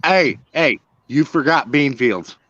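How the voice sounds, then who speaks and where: Man, laid-back joker, lively intonation, dull dry lo-fi recording. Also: medium pitch, slow. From Illinois. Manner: laid-back, joking interjections. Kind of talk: casual chat.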